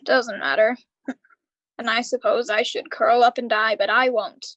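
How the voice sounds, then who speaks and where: Woman doing a tired heartsick uncle in a radio play, high voice, halting, dry recording. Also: steady tone, fairly bright tone, no room sound, muffled recording. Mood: tender, admiring. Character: weary, heartbroken uncle, slowly recovering after misfortune. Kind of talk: live radio drama acting.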